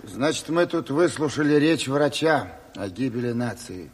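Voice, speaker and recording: An actor playing an orderly, formal man chairing a revolutionary meeting in measured speech in a radio drama. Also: mid-range voice, expressive, slightly rough. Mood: businesslike. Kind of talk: Soviet radio play acting. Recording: reverberant hall with crowd, 1970s radio play.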